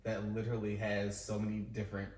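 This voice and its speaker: A man hosting a podcast, talking in an expressive, playful way. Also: lowish voice. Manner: expressive, playful, quick to laugh. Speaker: podcast host. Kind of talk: casual conversation. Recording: echoey room, mic at a distance, faint noise.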